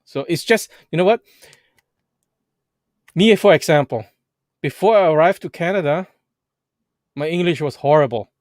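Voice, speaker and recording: German-native man hosting a livestream, fairly high voice, dry close sound, halting and animated. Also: no echo. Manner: halting, restarts and fillers, animated. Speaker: vlog host. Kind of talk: casual livestream chat. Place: Edmonton, Canada.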